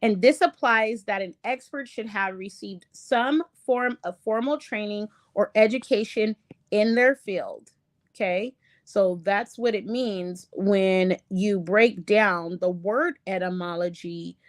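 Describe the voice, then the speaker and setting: A woman hosting an online lesson, reading from slides in a halting way with many ums and restarts; English is not her first language. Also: medium pitch, no room sound, studio quality. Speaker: online show host. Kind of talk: casual lecture reading from slides.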